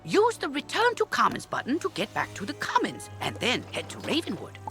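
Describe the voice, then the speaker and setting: A woman's voice: fairly high pitch, wildly swooping pitch, brisk, over music. A woman voicing a perky, instructive tutorial guide in a video game. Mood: helpful.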